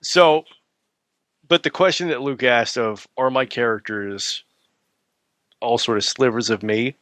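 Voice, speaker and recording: A man's voice: mid-range voice, measured pace, a bit bright, no echo. A man speaking as a guest author in a casual interview, wry and articulate.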